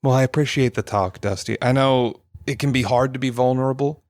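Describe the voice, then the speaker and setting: A man with a plain middle-range voice playing in a tabletop game, recorded dry and close. He jokes in a deadpan way and speaks easily. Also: medium pitch, fairly quick, dry recording. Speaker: guest player.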